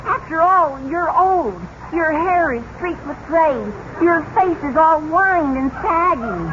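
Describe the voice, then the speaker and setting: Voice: extremely high pitch, takes it slowly, a bit bright, heavily acted. An actor playing a lovesick, awkward teenage boy in 1940s radio comedy, declaiming with pompous earnestness. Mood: ardent, bold. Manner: melodramatic, declaiming. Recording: muffled, noisy old radio recording.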